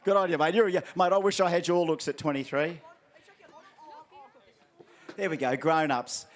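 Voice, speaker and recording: A man's voice: on the high side, fairly even intonation, moderate pace. An Australian man acting as MC at an outdoor event, handing out prizes with playful, teasing crowd banter.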